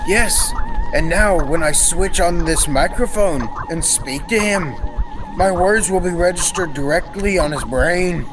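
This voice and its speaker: A man's voice: sits high, a little slow, music in the background, full character voice. A young man playing an earnest, proud scientist inventor in an old-time radio serial, explaining things formally. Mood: focused. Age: teenager or young adult. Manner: formal, explanatory, technical delivery. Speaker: student actor. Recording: reverberant hall, steady background noise.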